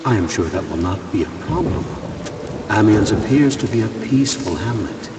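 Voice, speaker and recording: An actor playing a humble, earnest Franciscan monk in a video game, speaking formally and with restrained deference. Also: male voice, on the low side, animated, normal speed. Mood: polite. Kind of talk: dramatic video game acting. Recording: echoey cathedral reverb, rain noise behind.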